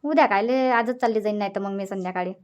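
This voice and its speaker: A woman's voice: medium pitch, quick pace, clear, resonant tone, lightly acted. A woman playing a fretful, regretful village sister in a cartoon comedy, plaintive and repetitive, with a Varhadi Marathi accent. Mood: agreeable, practical. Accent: Varhadi Marathi of Vidarbha. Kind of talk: dialect cartoon comedy dialogue.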